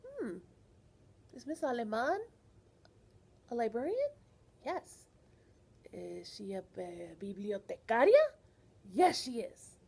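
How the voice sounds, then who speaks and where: A woman reads a picture book to young children in a high, lively voice. She takes her time, with a soft breathy edge, and keeps turning to her listeners with teacherly questions. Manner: animated, expressive, teacherly, pausing to question children. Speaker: children's librarian reading aloud.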